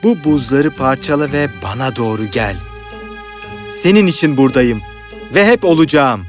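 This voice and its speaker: A man's voice: mid-range voice, animated, moderate pace, soft background music, lightly acted. A man playing a polite, romantic young hero in a fairy-tale cartoon, earnest and pleading. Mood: yearning. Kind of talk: animated fairy tale acting.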